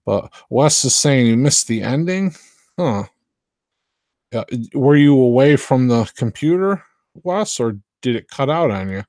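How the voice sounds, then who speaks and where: A man's voice: mid-range voice, animated, unhurried, a little mellow, no echo, studio quality. A man hosting a live stream, chatting casually with viewers in a hesitant, unpolished way, often trailing off. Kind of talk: casual rambling chat with viewers.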